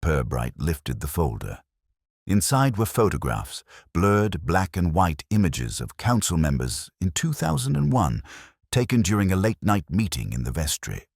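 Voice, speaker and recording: A man's voice: sits low, huge pitch swings, moderate pace, gravelly, husky, no echo, studio quality. A man reading a scripted mystery story as its narrator.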